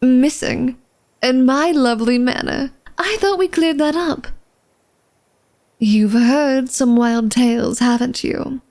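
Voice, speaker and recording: A woman's voice: high-pitched, takes it slowly, a little mellow. A woman playing an elegant, secretive vampire lady of a manor in a flirtatious ASMR roleplay, speaking formally and coyly to the listener. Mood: teasing, dismissive. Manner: formal, genteel, coy, teasing speech addressed to the listener.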